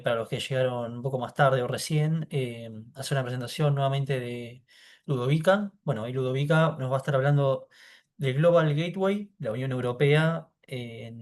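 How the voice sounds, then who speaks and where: Man moderating an online talk, halting, mid-pitched. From Argentina. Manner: hesitant, many fillers. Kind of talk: introducing a speaker. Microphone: video call.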